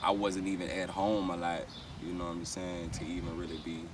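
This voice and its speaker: A man's voice: low voice, flat delivery, a little fast. A man with a Louisiana accent chatting casually as an interviewed rapper, with heavy slang and frequent swearing. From Baton Rouge, Louisiana, USA. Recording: far from mic, loud outdoor street noise.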